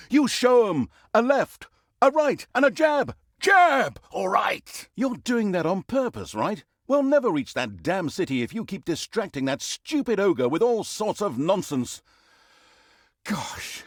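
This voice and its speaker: A man's voice: medium pitch, dry recording, clean studio sound, full character voice. A man playing a sarcastic, droll storybook narrator of a villain's exploits in a fantasy video game, with mock-grandiose, theatrical delivery. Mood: excited cheering, then exasperated.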